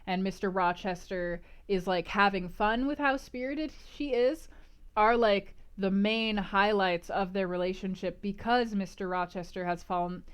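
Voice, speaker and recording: A woman hosting a casual book club livestream, discussing and answering chat in an animated, emphatic, conversational way. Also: mid-range voice, unhurried, smooth.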